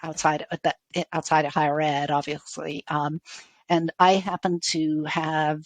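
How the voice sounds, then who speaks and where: A middle-aged woman speaks in a fairly low voice with a faint Irish accent. She answers conversationally, circling back to rephrase. The sound is very dry, with no room echo. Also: flat delivery, a little slow. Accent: faded Irish. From Dublin, Ireland. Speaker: university IT executive, interview guest.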